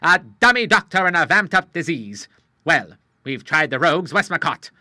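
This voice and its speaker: A man voicing a blunt, gruff elderly retired admiral in an audiobook, with brisk nautical bluster. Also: fairly high pitch, lively intonation, bright, forceful tone, no room sound, studio quality, voice pushed up. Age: older.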